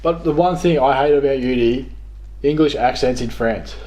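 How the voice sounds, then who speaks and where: A man's voice: mid-range voice, moderate pace, a little mellow, poor audio. An Australian man in his thirties chatting casually about video games as a guest, in blunt short remarks. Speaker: guest, new crew member. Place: living room, indoors.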